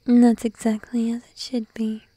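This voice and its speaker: A woman voicing a shy, needy, teasing sheep-girl girlfriend in an ASMR roleplay, speaking in a soft breathy whisper close to the microphone. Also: a little high, completely flat delivery, no room sound, close to an everyday voice. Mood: tender, affectionate. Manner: slow, drowsy, playfully coaxing. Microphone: close microphone, dry.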